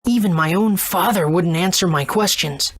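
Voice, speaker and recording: A man's voice: high voice, normal speed, a bit bright, breathy edge. A man playing an eager, wide-eyed boy hero in a video game, youthful and earnest. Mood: bewildered. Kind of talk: English video game voice acting.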